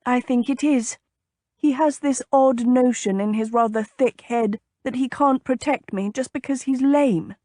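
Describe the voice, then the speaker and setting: A spirited young noblewoman, voiced by a woman reader in a historical audiobook. She speaks in formal period phrases with tart, emphatic expression, pitched a little high. The recording is dry and close. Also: measured pace, warm tone, dry recording. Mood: defensive, suspicious. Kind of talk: audiobook character dialogue.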